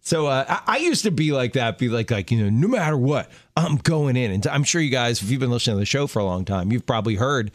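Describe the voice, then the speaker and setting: A man's voice: mid-range voice, lively intonation, fast talker, few pauses, no echo. A man co-hosting a radio show, chatting in an easygoing way and chuckling often from the studio. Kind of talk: casual radio chat. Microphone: studio microphone.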